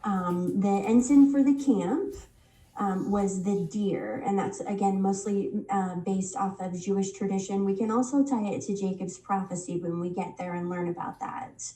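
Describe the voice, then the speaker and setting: A woman getting over a head cold teaches a Bible study in a casual, halting way, with plenty of ums. Her voice is mellow and dark. She sits back from the mic, and the room rings around her. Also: medium pitch, echoey room, distant mic, a little hiss or hum, rough recording. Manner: unhurried, many ums and restarts.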